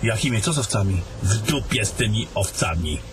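A man's voice: lowish voice, lively intonation, a little fast, noisy background, natural voice. A man playing a travelling adventurer in a fantasy audio drama. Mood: matter-of-fact. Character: fantasy adventurers, gruff travelling men and a mage.

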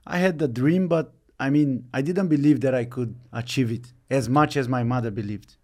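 Brazilian-born man, halting interview answers. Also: mid-range voice, a little mellow. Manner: halting, searching for words, many fillers. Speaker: retiring football player, guest.

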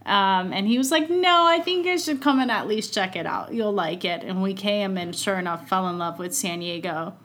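A woman's voice: medium pitch, normal speed, hardly any pauses, fairly bright tone. Upbeat woman coach from Iran. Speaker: guest coach. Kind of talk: casual interview chat.

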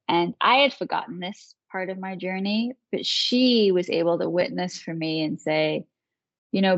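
A woman's voice: lowish voice, gravelly, no room sound, dull audio. A woman in her thirties from England with a Manchester accent, telling her story as an interview guest and laughing easily. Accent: English, Manchester. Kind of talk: interview conversation.

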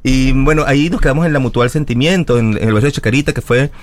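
A guest from Venezuela, speaking earnestly in long explanatory runs with small restarts during a radio interview. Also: male voice, medium pitch, fast talker. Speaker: guest, academic secretary of a school. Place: radio studio.

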